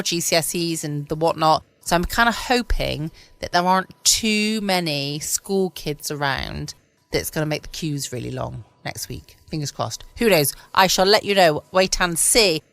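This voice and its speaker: A woman hosts a late-night podcast in a casual, rambling way. Her voice is on the low side, recorded dry and close in a quiet room at home. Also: animated, moderate pace, dry recording. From United Kingdom. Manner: rambling, musing to herself. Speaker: podcast host. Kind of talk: casual bedtime chat.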